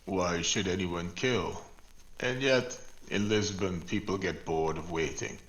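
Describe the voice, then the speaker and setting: Man acting a deep, slow, sardonic police chief. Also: fairly bright tone, highs cut off. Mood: dry, menacing. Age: older. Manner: slow, deliberate, dryly scornful. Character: weary, sardonic older Portuguese police chief. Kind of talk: 1940s radio drama acting.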